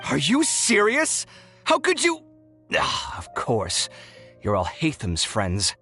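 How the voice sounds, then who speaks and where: A man's voice: a little high, wildly swooping pitch, takes it slowly, pushed and bright, breathy edge, faint music behind, full character voice. An actor playing a dramatic, prickly young man in an anime video game, with a theatrical, easily exasperated manner.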